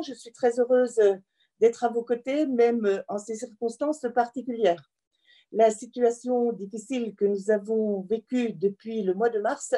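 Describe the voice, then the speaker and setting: A woman politician reads a formal address. Her voice sits fairly high yet is very soft and mellow in tone, and her delivery is measured and earnest. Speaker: member of parliament addressing local mayors. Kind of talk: formal prepared speech.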